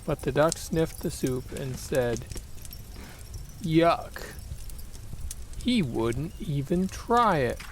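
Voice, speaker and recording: A man narrating a children's picture book aloud in a deliberate, expressive storytelling manner. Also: fairly high pitch, a little hiss or hum.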